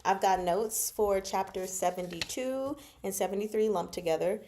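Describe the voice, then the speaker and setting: Casting: female book-discussion host, medium pitch, casual and slangy, emphatic, teasing, expressive delivery. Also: normal speed, a little hiss or hum. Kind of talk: casual chatty book commentary with live chat.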